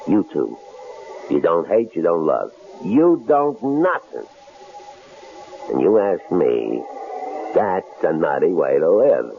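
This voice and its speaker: A man's voice: low voice, sing-song, slow pace, creaky, long pauses, faint music behind, full character voice. An actor in a 1970s radio drama playing a big, cold-blooded thug who taunts his captives with mocking, leering familiarity. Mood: taunting, leering. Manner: leering, mocking, folksy drawl-out phrasing. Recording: noisy, muffled old radio broadcast recording.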